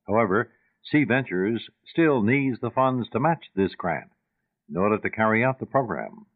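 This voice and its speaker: Low, animated man announcer on tinny radio. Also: normal speed. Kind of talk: scripted radio charity appeal. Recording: narrow, tinny vintage radio recording.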